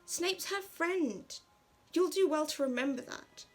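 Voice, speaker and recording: A woman's voice: high-pitched, measured pace, faint music behind, voice pushed up. A woman voicing an indignant schoolgirl witch with an emphatic, scolding manner in a story reading. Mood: exasperated.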